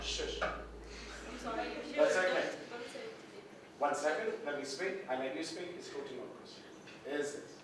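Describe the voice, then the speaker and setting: A man's voice: on the high side, lively intonation, a little slow, long pauses, crowd noise. A man with an English accent giving a provocative, self-mocking lecture to a group and cutting off interruptions. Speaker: guest lecturer. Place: lecture room. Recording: echoey room, distant mic, music underneath.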